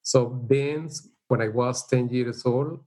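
A middle-aged man from El Salvador with a Salvadoran Spanish accent, speaking English as a second language in a casual interview, halting and unhurried, with frequent fillers. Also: mid-range voice, fairly even intonation, warm tone. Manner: unhurried, halting, with frequent "you know" and light laughs. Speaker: interviewee, teacher. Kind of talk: casual life-story interview.

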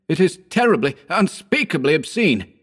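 A man's voice: fairly high pitch, very animated, normal speed, pitched above the performer's own voice. A man voicing an overwrought, horrified male narrator-hero who exclaims urgently and melodramatically in a horror audiobook. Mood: revolted.

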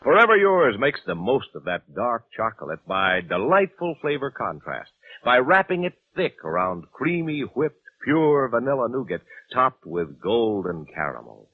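A man's voice: medium pitch, sing-song, measured pace, breathy edge. A man announcing in a polished, emphatic 1940s radio sponsor style. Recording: tinny old radio recording.

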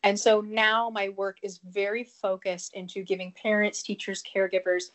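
A woman in her thirties with a cold, speaking as a podcast guest in an earnest, talkative way, with frequent fillers and quick self-conscious laughs. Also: medium pitch, little pitch movement. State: has a cold, a bit sniffly. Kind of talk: conversational interview answer.

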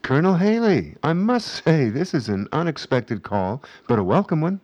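An actor playing an unctuous, zealous political speaker in a radio drama, formal and effusive, heard over a filtered link. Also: male voice, on the high side, big pitch swings, normal speed, a little mellow. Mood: smooth, intrigued. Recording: dull, narrow band-limited link.

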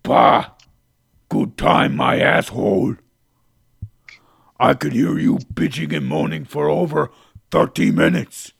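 A man voicing a grumpy male coronavirus spirit who scolds slowly in a gravelly, husky voice. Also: on the high side, big pitch swings, no room sound, clean studio sound, pitched above the performer's own voice, full character voice. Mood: cranky. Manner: slow, cranky scolding.

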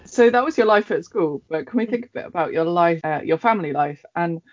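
An interviewer asking measured questions that gently prompt the guest to go on. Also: mid-range voice, animated. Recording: muffled, dull audio.

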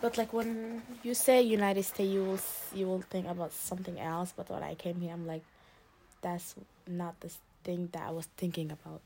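A teenage girl from Lebanon, a high school student answering interview questions in a casual, hesitant way with many fillers and restarts. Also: little pitch movement, moderate pace, gravelly, dark tone, a little hiss or hum. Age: teens.

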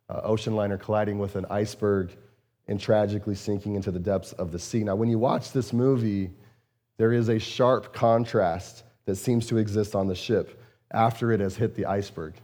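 Low, mellow-voiced pastor preaching earnestly. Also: male voice. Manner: earnest, repeats words and phrases, frequent fillers. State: voice strained, slightly hoarse. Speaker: lead pastor. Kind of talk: conversational sermon.

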